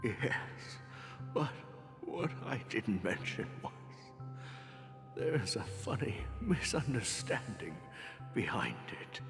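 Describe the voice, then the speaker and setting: A weary, aged male traveler from a story-driven game, looking back wistfully. His low voice moves slowly and haltingly, with long reverb around it and music underneath. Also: very animated, heavily acted. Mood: wistful, nostalgic. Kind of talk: dramatic video game voice acting.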